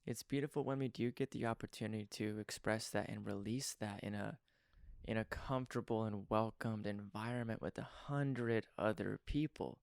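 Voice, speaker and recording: A young adult man hosting a podcast interview, talking in an earnest, effusive way with a voice worn hoarse. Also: fairly low pitch, normal speed, dry recording, clean studio sound. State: partly lost voice. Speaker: podcast host. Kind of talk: casual interview chat.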